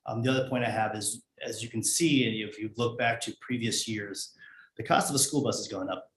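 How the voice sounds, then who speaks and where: A man speaking as a school district finance official at a public meeting, in a measured, formal administrative way with hesitant pauses. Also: fairly low pitch, fairly bright tone. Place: indoor board meeting room. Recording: echoey meeting room with background noise.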